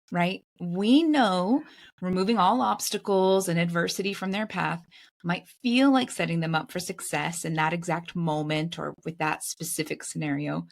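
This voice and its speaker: A woman co-hosts a podcast, moving through prepared lines in a steady, reassuring way. Her voice sits a bit low and has a gravelly edge. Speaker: podcast co-host. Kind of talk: semi-scripted podcast intro.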